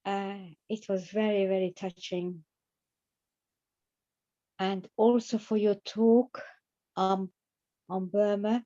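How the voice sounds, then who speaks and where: A woman joins an online discussion to ask a careful question. She talks slowly with many pauses, in a dark, mellow voice. Her audio comes through dull and lo-fi over the call. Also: mid-range voice, fairly even intonation. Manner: slow, halting, careful. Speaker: participant asking a question. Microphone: video call microphone.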